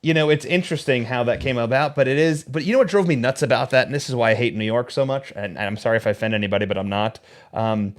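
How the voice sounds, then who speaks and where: A man's voice: medium pitch, fast. A man hosting a casual interview, blunt and opinionated, ranting freely about what he dislikes. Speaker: host. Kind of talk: casual video chat interview.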